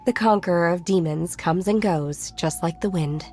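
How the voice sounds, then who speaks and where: A woman voicing a serene, literal-minded young mountain disciple in an anime-style video game, formal, measured and earnest. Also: on the low side, close to an everyday voice. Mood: composed. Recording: music faintly behind the voice.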